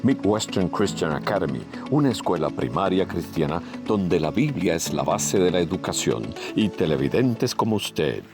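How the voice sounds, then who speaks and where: A man reads out a sponsor announcement in a polished announcer's voice. His voice is low and his intonation is lively. Music plays underneath him. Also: moderate pace, few pauses. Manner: announcer delivery.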